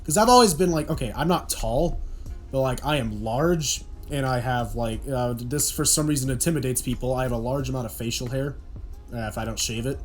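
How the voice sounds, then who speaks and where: Young man chatting in a podcast over music. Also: a little fast. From Oklahoma. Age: young adult. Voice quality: deep voice. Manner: rambling, self-deprecating, many restarts. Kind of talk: casual podcast chat.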